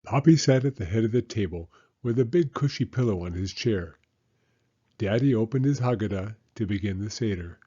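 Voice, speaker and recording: A man narrating a children's storybook aloud in an animated read-aloud style. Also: lowish voice, moderate pace, slightly rough, highs cut off.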